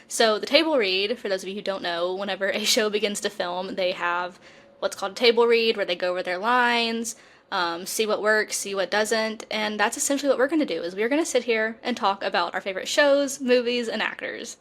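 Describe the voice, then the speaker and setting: A woman's voice: mid-range voice, a little fast, fairly bright tone. A young woman co-hosting a podcast in casual, chatty conversation full of colloquial fangirl slang. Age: young adult. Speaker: podcast co-host.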